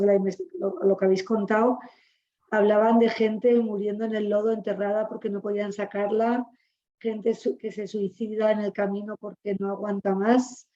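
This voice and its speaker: Woman moderator with a Spanish accent from Spain, reflective, halting delivery, dull lo-fi call audio. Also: mid-range voice, warm tone. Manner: reflective, searching for words. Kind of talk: webinar panel talk.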